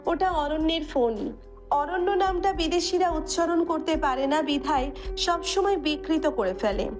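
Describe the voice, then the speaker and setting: Lady narrating audio love story, high pitched, scripted dramatic reading, light music behind.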